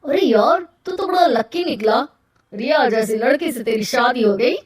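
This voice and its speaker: A woman voicing a frank, teasing young man, a mocking friend in an animated moral story. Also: high-pitched, normal speed, a crowd in the background. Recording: roomy echo, distant from mic.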